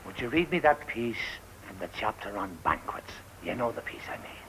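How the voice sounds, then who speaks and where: An actor playing a gruff, fussing old sailor minding shipwrecked children, colloquial and scolding yet tender, in a classic film. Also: male voice, on the low side, animated, moderate pace, a bit bright, slight background noise, low-quality recording. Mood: gentle.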